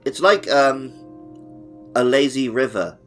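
A man's voice: mid-range voice, slow. A man co-hosting a gaming podcast in a casual, conversational way. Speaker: podcast host. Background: music.

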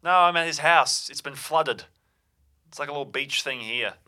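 A man's voice: on the high side, normal speed, bright, forceful tone, dry recording, studio quality. A man playing in an improvised audio drama, talking casually and stumbling a little as he makes up his part. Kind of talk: casual improvised role-play chat.

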